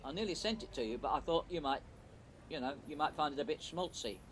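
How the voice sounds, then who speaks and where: A shy young boyfriend in an audio drama. He speaks in a fairly high voice, awkward and apologetic, over slight background noise. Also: expressive, quick pace, a bit bright. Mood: bashful. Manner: hesitant, awkward. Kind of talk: audio play acting.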